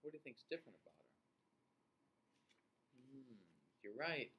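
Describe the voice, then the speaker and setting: A middle-aged man reading a picture book aloud to young children, expressively, with questions and pauses for them to answer. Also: mid-range voice, sharp tone, slight background noise, low-quality recording. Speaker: children's class host and teacher reading aloud. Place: small indoor room.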